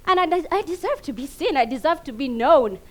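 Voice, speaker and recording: A Ugandan woman performing a theatrical comic monologue, emphatic and dramatic, swinging between hushed and loud delivery. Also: high voice, expressive, fairly quick. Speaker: competition contestant performing a monologue. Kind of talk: theatrical comedic stage monologue.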